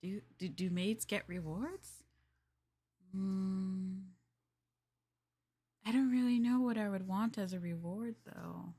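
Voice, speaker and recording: A woman's voice: mid-range voice, takes it slowly, pauses often, mellow, breathy edge. A young woman chatting in an ASMR maid roleplay. She rambles playfully, giggles and hesitates with fillers while speaking to the listener as her master. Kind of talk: soft casual ASMR roleplay chat.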